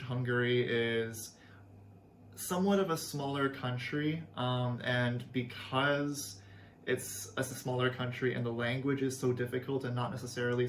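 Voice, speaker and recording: A man's voice: medium pitch, fairly bright tone, a little hiss or hum. A young Canadian man, a language teacher, explaining things in a friendly, earnest way with some fillers. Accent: Canadian English. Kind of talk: casual video lesson. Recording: echoey room, faint ambience.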